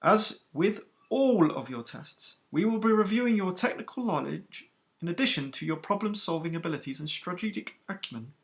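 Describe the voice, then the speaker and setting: A man's voice: high voice, expressive, normal speed, voice pushed up. A man voicing a stern, commanding woman starship captain with a clipped alien accent. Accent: stern alien Celestian accent. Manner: clipped and authoritative.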